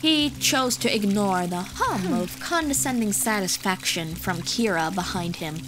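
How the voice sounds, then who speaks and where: A woman's voice: mid-range voice, lively intonation, a little slow. A woman reading scripted fantasy story narration as the narrator of an audiobook. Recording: music behind the voice.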